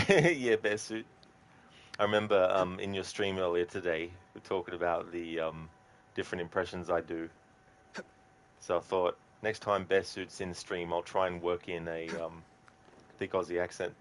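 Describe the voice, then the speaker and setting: A man chatting casually and haltingly as he live-streams a video game, musing aloud to viewers. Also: low-pitched, fairly even intonation, a bit bright. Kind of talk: casual chat while gaming.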